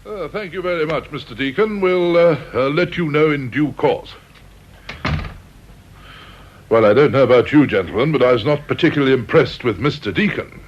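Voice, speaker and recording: A man's voice: a little high, animated, brisk, few pauses, slight background noise, lightly acted. An actor playing a genial, slightly fussy British chairman of an interview board in a radio drama, polite and hesitant. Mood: brisk, businesslike. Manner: hesitant, deferential, clubbable. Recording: dull old radio recording.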